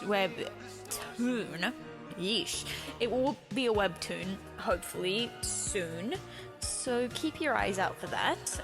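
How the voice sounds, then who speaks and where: A woman who reads her own horror fan story as narrator and chats casually as its author. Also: medium pitch. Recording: music under the voice.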